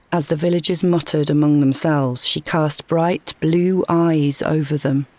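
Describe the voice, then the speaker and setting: A woman reading a scripted short story as narrator, in a measured, dramatic storytelling manner. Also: deep voice, darkish tone, no room sound.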